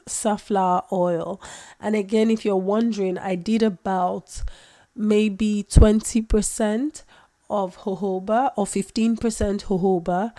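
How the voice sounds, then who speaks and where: Woman host, has a cold, mid-pitched, chatty and self-correcting. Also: mellow. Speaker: skincare maker, channel host. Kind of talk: casual tutorial vlog.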